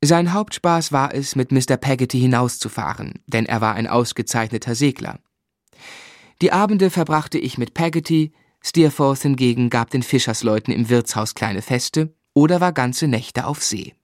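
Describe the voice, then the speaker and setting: Male, young adult, mid pitch: actor playing earnest, affectionate narrator-hero of a literary radio play, measured phrasing. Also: dry recording, studio quality, close to an everyday voice. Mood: reflective.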